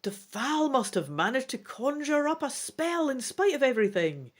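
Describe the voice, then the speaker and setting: A woman voicing an excitable, earnest young hero in a dragon's body, in a dramatic gamebook reading. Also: high voice, normal speed, pitched above the performer's own voice. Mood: excited.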